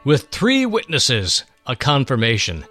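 Animated male storyteller over music. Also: medium pitch, measured pace. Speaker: host and storyteller. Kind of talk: dramatic scripted narration.

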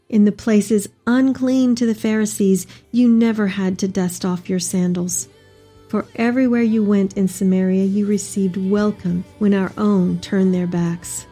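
An elderly, devout mother acted by a woman reads her letters aloud as a dramatic monologue. Her voice is warm and mellow, at a middling pitch for a woman. She speaks tenderly and with deep feeling, with soft music underneath. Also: natural voice. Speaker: dramatic reader.

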